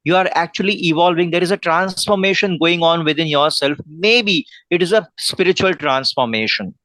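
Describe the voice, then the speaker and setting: Indian-accented male tutor, higher-pitched, dry sound, earnest and advice-giving. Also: normal speed, pushed and bright, no room sound. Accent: Indian English. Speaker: English conversation tutor. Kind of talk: one-on-one online English lesson.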